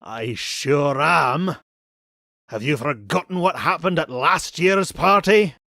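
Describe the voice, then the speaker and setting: A miserly, indignant old male cartoon duck, for a comic dub. The pitch is high and swings up and down, and the words come slowly. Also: expressive, gravelly, sharp tone, no echo, studio quality, full character voice. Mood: bossy. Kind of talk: comic book fan dub.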